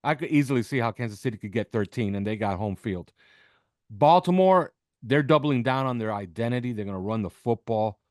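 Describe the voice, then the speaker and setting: A man's voice: mid-range voice, animated, a little fast, a little mellow, dry recording. Man giving emphatic football analysis, dry sound. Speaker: podcast guest football analyst. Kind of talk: unscripted sports analysis.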